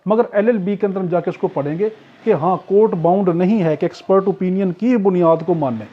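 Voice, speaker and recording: A man's voice: high voice, brisk. A man with a Pakistani accent lecturing as an exam tutor, repeating key points and posing questions that he then answers. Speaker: teacher, law exam tutor. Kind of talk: explanatory lecture for exam preparation. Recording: dull, lo-fi audio.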